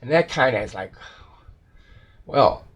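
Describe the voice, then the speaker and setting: A man with a gravelly, husky voice giving a casual, rambling repair walkthrough, hesitating and correcting himself as he goes. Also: medium pitch, animated, measured pace. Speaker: repair technician.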